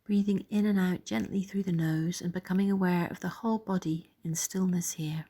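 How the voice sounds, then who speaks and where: A woman leading a guided body scan meditation in a soft, gentle, soothing way, pausing between phrases. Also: lowish voice, steady tone, slightly rough.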